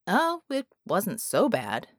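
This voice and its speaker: A woman's voice: mid-range voice, wildly swooping pitch, takes it slowly, no room sound, studio quality, heavily acted. A woman voicing a sly male shapeshifting impostor in an audiobook, suave, familiar and casually teasing. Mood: breezy, cocky.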